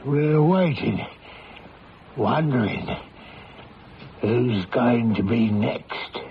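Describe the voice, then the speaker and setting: An actor playing a superstitious village tavern keeper with a rustic, foreboding manner in a radio drama. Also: male voice, mid-range voice, lively intonation, drawn-out words, creaky, heavily acted. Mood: ominous. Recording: dull lo-fi old radio, faint noise.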